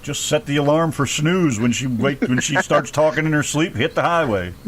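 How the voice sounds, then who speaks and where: A man's voice: a little high, animated, normal speed, a little hiss or hum. A man hosting a radio interview in casual, blunt, joking and salty talk. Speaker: radio show host.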